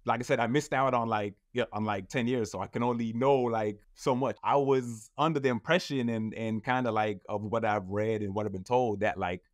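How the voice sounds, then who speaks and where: A man's voice: mid-range voice, fairly even intonation, a little fast, few pauses, dry recording, studio quality. A man in his thirties, a guest in a casual interview, telling his story in a loose, rambling way full of fillers. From United States. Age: 30s.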